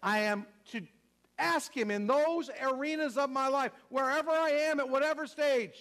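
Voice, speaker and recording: High-voiced man preaching emphatically. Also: moderate pace, fairly bright tone. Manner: emphatic, repetitive, asks the congregation questions. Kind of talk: sermon.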